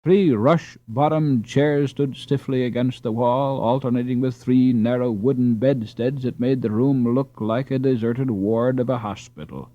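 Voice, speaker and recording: A man's voice: mid-range voice, steady tone, measured pace, few pauses, dark tone, no room sound. Male audiobook narrator, mid-range voice, scripted storybook reading, dry and slightly dull sound. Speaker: audiobook reader.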